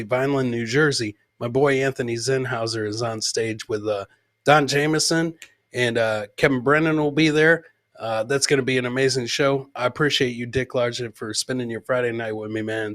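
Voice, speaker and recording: A man's voice: medium pitch, moderate pace, fairly bright tone, dry recording. A man hosting a live show, chatting casually and informally as he reacts to clips and often repeating his own lines. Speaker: podcast host and stand-up comedian.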